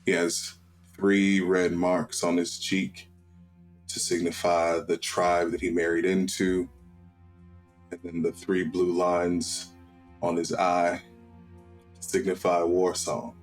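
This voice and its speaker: Guy roleplayer describing his character, super slow n solemn, lowish voice, lots of pauses, music under it. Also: completely flat delivery. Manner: measured, solemn, with long pauses between short phrases. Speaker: tabletop roleplay player. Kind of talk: improvised descriptive storytelling about a character. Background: background music.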